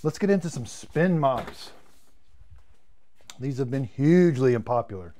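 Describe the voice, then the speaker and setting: A man's voice: medium pitch, animated, a little slow, warm tone. A man in his fifties, a product reviewer demonstrating cleaning gear on a live stream, chatty and enthusiastic, repeating words as he goes, and losing his voice. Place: home studio room with shelves of vacuums.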